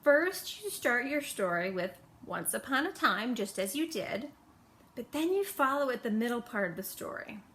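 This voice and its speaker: A woman's voice: a little high, sing-song, moderate pace, fairly bright tone, a little hiss or hum. A woman voicing a bossy, exasperated little girl who explains things emphatically in a storybook reading. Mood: instructing. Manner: emphatic, instructing.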